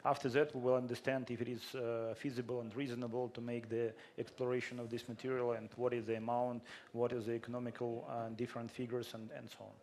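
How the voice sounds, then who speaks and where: A man on a panel adds a point from the private sector in a matter-of-fact, explanatory way. His voice stays flat and even throughout. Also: mid-range voice, fast talker, hardly any pauses. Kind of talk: panel remarks.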